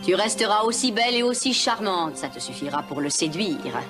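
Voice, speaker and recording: A woman voicing a scheming, commanding sea witch in a dubbed animated fairy tale, with an insinuating, theatrical manner. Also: medium pitch, fairly quick, natural voice. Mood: sly, coaxing. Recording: music behind.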